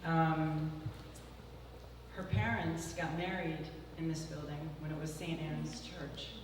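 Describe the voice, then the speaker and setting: Soft-voiced woman author, casual and hesitant intros, far from the mic in a long-reverb hall. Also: low-pitched, little pitch movement, slow. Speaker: author reading her fiction. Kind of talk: live literary reading. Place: large former church hall. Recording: distant mic in a very echoey hall, hum of noise.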